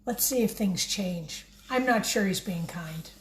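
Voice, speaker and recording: A woman teacher reading a picture book aloud expressively, pausing to put questions directly to young listeners. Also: mid-range voice, a little hiss or hum, rough recording. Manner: expressive, addresses children directly.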